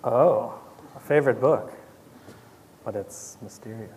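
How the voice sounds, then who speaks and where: A man's voice: medium pitch, a little slow, darkish tone. A man informally moderating a live audience Q&A in a casual, somewhat hesitant way. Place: indoor event venue with audience. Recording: noisy live event room.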